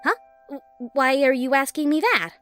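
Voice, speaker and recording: A woman's voice: high voice, normal speed, fairly bright tone, pitched above the performer's own voice. A woman voicing a caring, shy young female pony in a cartoon fan fiction reading. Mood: concerned, nervous. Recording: faint music behind the voice.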